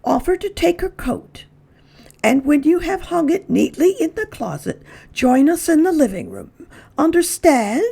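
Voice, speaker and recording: A woman's voice: high voice, very animated, a little slow, gravelly, warm tone, pitched above the performer's own voice, full character voice. A woman voicing a kindly, fussy mother and hostess in a storybook, polite and exclamatory in a sing-song way. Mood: brisk, instructing.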